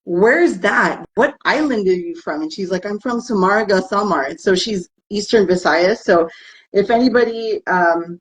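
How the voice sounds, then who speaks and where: A woman's voice: medium pitch, normal speed, rough recording. A woman guest speaking in an interview, earnest and heartfelt, bilingual with Tagalog. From United States. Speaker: guest, herbalist business founder. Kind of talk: interview conversation.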